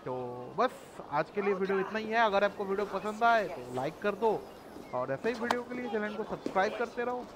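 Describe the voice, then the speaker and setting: A man's voice: sits high, lively intonation, rapid-fire, a crowd in the background. A young man with an Indian accent, talking casually as the host of a gaming video. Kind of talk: casual walkthrough commentary sign-off.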